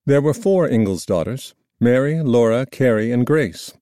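A man reading scripted nonfiction narration in a measured, formal storytelling manner. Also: mid-range voice, animated, warm tone, dry recording, clean studio sound. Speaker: audiobook narrator.

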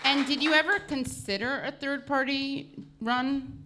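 A woman's voice: high-pitched, unhurried, sharp tone. An American woman hosting a live interview in an informal, digressive way, often saying "like". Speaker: podcast host.

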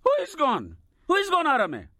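Outraged husband, sharp raised voice. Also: male voice, very high voice, huge pitch swings, moderate pace, dry recording, studio quality, full character voice. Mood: impatient. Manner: accusing, emphatic, heated. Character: outraged, embittered middle-aged husband and father. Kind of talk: audio drama acting.

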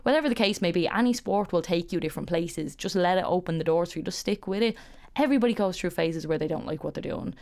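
Woman, martial arts coach, low gravelly voice, quick, encouraging. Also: a little mellow. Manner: earnest, encouraging, repeats words when starting. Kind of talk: interview clip.

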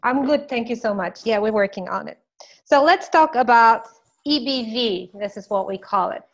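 A woman with a fairly high, slightly scratchy voice speaks as a podcast guest. She explains patiently, with some hesitations, and clears her throat now and then. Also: animated, moderate pace. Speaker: guest expert. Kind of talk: podcast interview.

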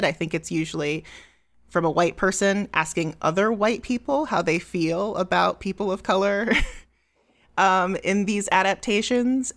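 A woman's voice: fairly low pitch, fairly bright tone. Woman hosting a podcast. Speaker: podcast host.

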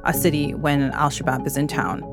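Low-voiced woman narrating over music. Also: flat delivery, brisk. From East Africa. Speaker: podcast host and narrator. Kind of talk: scripted documentary narration. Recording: music bed behind narration.

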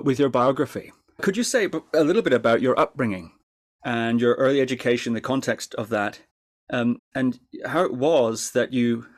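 A man hosting a podcast, reading a formal introduction and then asking long, polite questions with some fillers and restarts. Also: medium pitch. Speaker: podcast host. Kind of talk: podcast interview, scripted intro then chat.

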